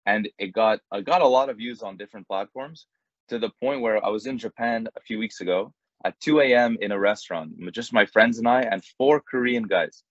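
A young man from Canada, a guest on a video call, telling stories in a relaxed, chatty way. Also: lowish voice, a little fast, no echo. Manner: relaxed and chatty, acts out quoted exchanges. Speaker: guest, content creator. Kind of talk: casual storytelling in an interview. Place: indoors, small plain room. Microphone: earbuds on a video call. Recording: dull, dry video call audio.

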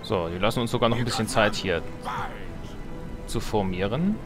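A man's voice: lowish voice, normal speed. A man giving casual let's play commentary, with joking asides and musing to himself. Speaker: let's player. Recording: game music behind the voice. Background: strategy game music and sounds.

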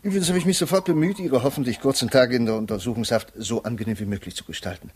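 An actor playing a polite, officious defence lawyer in a 1950s German radio play, formal, hesitant and placating. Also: male voice, mid-range voice, fast, darkish tone, slightly rough, lightly acted. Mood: polite, reassuring.

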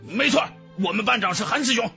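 An excitable young disciple performed by a man from a fantasy audiobook. The voice is very high and bright, argumentative, with lively swings in pitch. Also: quick pace, creaky, faint music behind, heavily acted. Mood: eager.

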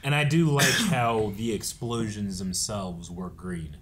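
A man's voice: fairly low pitch, measured pace, noisy background. A man in his thirties with an American accent, chatting casually as a reaction show host. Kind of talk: casual discussion of a cartoon episode. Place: indoor studio with set shelves.

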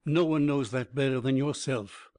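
A man reading a biography aloud as an audiobook narrator, in an even, measured way. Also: medium pitch.

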